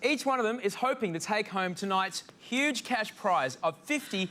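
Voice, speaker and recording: An Australian man hosting a game show, energetic and announcing to a studio audience. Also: sits high, moderate pace, a little hiss or hum.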